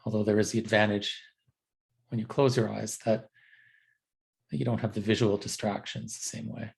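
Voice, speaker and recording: A man leading a guided body scan meditation as a teacher, speaking gently and unhurriedly in a halting, unscripted way with frequent pauses. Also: lowish voice, flat delivery, vocal fry.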